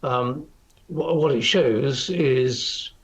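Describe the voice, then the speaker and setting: An older man with a British accent giving political commentary as an interview guest, formal, measured and hesitant. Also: medium pitch, husky. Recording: slightly dull, lo-fi broadcast audio.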